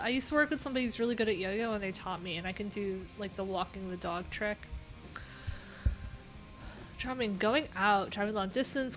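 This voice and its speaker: Woman streamer, mid-pitched, casual and chatty, reading viewer replies with faint music underneath. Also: fairly bright tone. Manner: chatty, loose asides with frequent fillers. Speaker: livestream host. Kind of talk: casual livestream chat, reading viewer answers aloud. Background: pop punk music playing.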